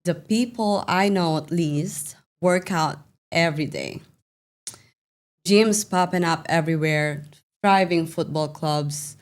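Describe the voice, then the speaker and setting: A woman's voice: on the low side, slow. A woman hosting a podcast interview, putting prepared questions to her guest. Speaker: podcast host.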